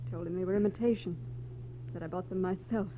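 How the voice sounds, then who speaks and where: A woman's voice: on the low side, steady tone, fast, lightly acted. An actress playing a wistful, secretive married woman in a 1940s radio detective drama, speaking earnestly and a little hesitantly. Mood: wistful confession. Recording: tinny, noisy, humming 1940s radio recording.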